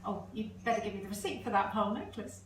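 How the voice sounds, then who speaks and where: A woman playing a wistful, anxious middle-aged mother in a stage play, confiding in halting reminiscence. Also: mid-range voice, steady tone, close to an everyday voice. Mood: resigned, confiding. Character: middle-aged birth mother, wistful, anxious, devoted to her secret daughter. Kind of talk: amateur stage-play acting, naturalistic dialogue. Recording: roomy, distant, noisy home recording.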